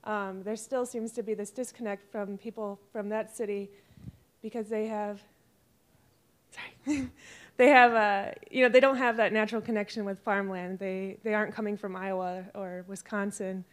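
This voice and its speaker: A woman's voice: mid-range voice, steady tone, moderate pace. An American woman in an audience asking a casual question, hesitantly searching for her words.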